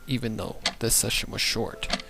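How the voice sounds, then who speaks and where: A man's voice: lowish voice, steady tone, normal speed, creaky, background noise. A man reading a scripted dramatic story as its narrator. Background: loud background music.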